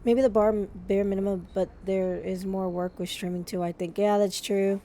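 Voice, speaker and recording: Slightly hoarse chatty woman streamer. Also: medium pitch, steady tone, moderate pace, mellow, slight background noise. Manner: chatty, effusive, with frequent restarts. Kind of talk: casual live-stream chat.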